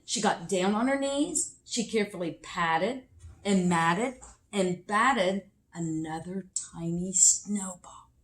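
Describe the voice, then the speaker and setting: Slow-paced woman reading a children's story. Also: on the low side. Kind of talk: expressive picture-book read-aloud.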